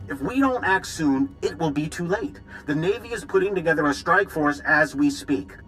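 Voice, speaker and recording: An actor playing a grave, urgent military intelligence director in a video game, briefing in a clipped, earnest way. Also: male voice, a little high, expressive, moderate pace, a bit bright, poor audio. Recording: dramatic music underneath.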